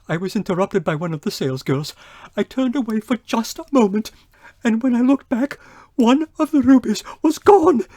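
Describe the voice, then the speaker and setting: Woman as indignant shop owner, radio mystery, agitated, exclaiming, mellow tone, dry close sound. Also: on the high side, animated, normal speed, no echo. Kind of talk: old-time radio mystery acting.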